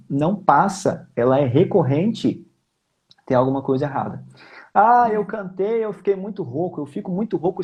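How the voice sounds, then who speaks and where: A man's voice: fairly high pitch, warm tone. A Brazilian man explaining technique as a guest singing teacher, informal and emphatic, repeating his key points.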